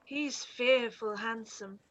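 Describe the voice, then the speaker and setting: A woman playing a silly, excitable teenage girl in a period radio play, gushing and petulant. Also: a little high, slow pace, poor audio. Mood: admiring.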